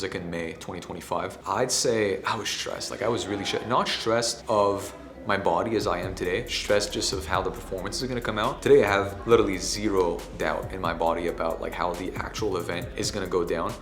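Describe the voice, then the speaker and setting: A man with a hoarse, recovering voice, talking casually to camera as he gets ready to host a workshop, swearing and keeping his voice soft. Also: low-pitched, steady tone, fairly quick, soft background music. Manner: casual, swears, talks softly to spare his voice. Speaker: ads agency owner hosting a workshop. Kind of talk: casual vlog talk to camera. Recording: echoey room.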